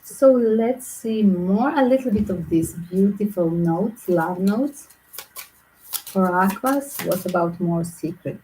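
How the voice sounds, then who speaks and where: A woman from Southern Europe with a mixed Greek and Yugoslav accent, giving a tarot reading in quick, chatty, non-native English. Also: medium pitch, mellow, a little hiss or hum, low-quality recording. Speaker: tarot reader.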